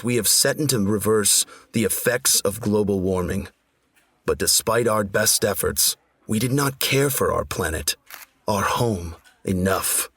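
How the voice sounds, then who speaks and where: An actor playing a solemn government leader making a grave, formal address in a sci-fi audio drama. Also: male voice, lowish voice, a bit bright, slightly rough, dry recording.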